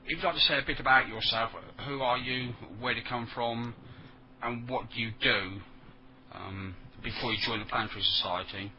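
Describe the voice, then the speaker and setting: A man's voice: medium pitch, fairly even intonation, normal speed, a crowd in the background. A man interviewing a guest, asking questions with stammers and repeated words. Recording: dull lo-fi sound.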